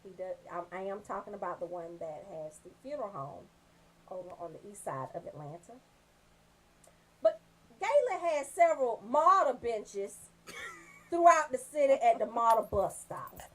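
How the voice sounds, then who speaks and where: A woman's voice: medium pitch, very animated, moderate pace, a little hiss or hum, rough recording. A woman telling comic stories as a radio show host, brash and freely swearing.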